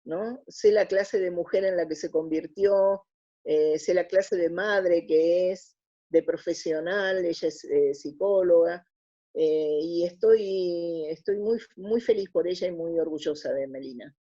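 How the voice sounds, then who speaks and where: A woman's voice: fairly low pitch, unhurried, warm tone. A middle-aged woman with an Argentine Spanish accent, giving reflective, casual interview answers and often searching for her words. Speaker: interview guest. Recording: dull lo-fi audio.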